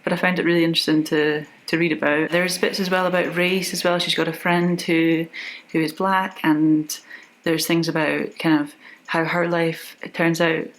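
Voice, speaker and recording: A woman's voice: deep voice, flat delivery, moderate pace, rough recording. A young woman book vlogger chatting casually, with many fillers and self-corrections. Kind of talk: casual chat about books.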